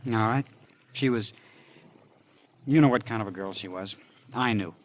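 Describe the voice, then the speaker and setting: A surly young man from a 1950s radio crime drama, played by an actor, the defensive son shielding his father. His voice is fairly low. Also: moderate pace, lightly acted.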